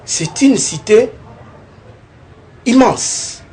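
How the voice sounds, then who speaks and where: A young man from the Kongo Central region of DR Congo, a political candidate giving a TV interview, talking in a lively way and often tagging his points with a rising question. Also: very high-pitched, very slow, a little hiss or hum. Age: young adult. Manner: animated, keeps adding "n'est-ce pas?", rising tone on points.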